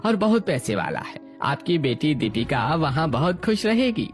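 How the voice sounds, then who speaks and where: A man's voice: on the high side, big pitch swings, normal speed, faint music behind. A man playing a persuasive, sly marriage broker in an acted family story.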